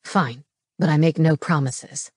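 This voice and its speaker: A woman voicing a gruff male shapeshifter pack leader in an audiobook, grim and blunt. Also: fairly low pitch, normal speed, darkish tone, no room sound, clean studio sound, natural voice. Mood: gruff, reluctant.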